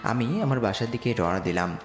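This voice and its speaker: A man's voice: medium pitch, lively intonation, a little fast, natural voice. A man playing a poor, humble young slum boy who earnestly narrates his own romantic audio story. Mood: narrating, earnest. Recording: echoey reverb with music bed. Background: music.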